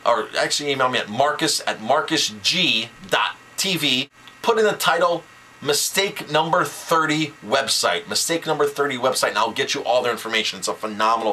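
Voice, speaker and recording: A man's voice: on the low side, bright, forceful tone, slight background noise, low-quality recording. A middle-aged man, a chef and restaurant owner, giving casual business advice to camera with enthusiasm and lots of repeated words.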